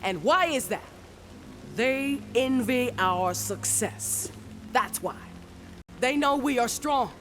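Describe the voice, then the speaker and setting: Cold, scornful woman warlord, propaganda speech in a game, high-set voice, faint music bed. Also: lively intonation, takes it slowly, a bit bright. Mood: scornful, rallying. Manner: declamatory, contemptuous propaganda speech. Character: stern militant woman leader rallying her faction. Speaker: faction leader in a game. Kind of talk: recorded propaganda broadcast in a video game.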